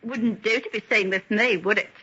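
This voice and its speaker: A woman's voice: mid-range voice, moderate pace, sharp tone, husky. An actress playing a brash, restless working-class runaway woman with an exclamatory, colloquial manner in an old radio drama. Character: rough-edged working-class runaway woman, wide-eyed, cheeky, restless. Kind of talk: golden age radio drama acting. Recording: dull, noisy vintage radio recording.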